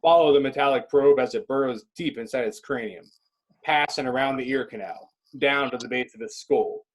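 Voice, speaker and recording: A man with a husky voice reading stage directions as dramatic scripted narration. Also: mid-range voice, normal speed, rough recording.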